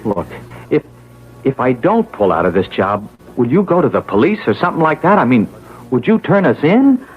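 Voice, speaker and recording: An actor playing an anxious, wavering small-time crook in a classic radio drama, hesitant, stammering and pleading. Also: male voice, medium pitch, very animated, normal speed, natural voice. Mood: uneasy. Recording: dull lo-fi old radio recording.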